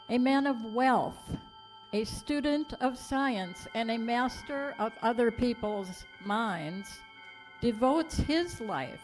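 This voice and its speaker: A woman's voice: a little high, a little mellow. A woman playing a solemn announcer in a 1930s radio drama, reading scripted narration dramatically. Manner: measured, dramatic.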